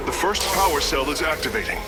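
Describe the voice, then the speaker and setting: A man playing an earnest, deferential alien engineer in a video game, speaking in a formal, measured, technical way. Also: a little high, big pitch swings, over loud music. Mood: focused, informative. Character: earnest, deferential male alien engineer serving his commander. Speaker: engineer and battle advisor.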